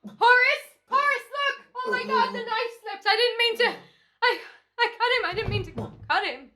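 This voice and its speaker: A woman's voice: very high-pitched, moderate pace, background noise. A woman playing a formal, courteous and menacing doctor in an old-time radio drama. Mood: panicked. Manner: courteous, precise, ominous. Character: smooth, menacing, formal doctor turned murderer in radio rehearsal.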